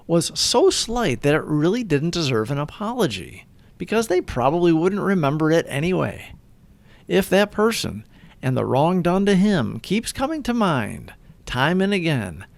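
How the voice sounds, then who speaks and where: A man reading a scripted recovery meditation aloud in an earnest manner, with deliberate, measured phrasing. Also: a little high, vocal fry, dry recording. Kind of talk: scripted devotional read-aloud.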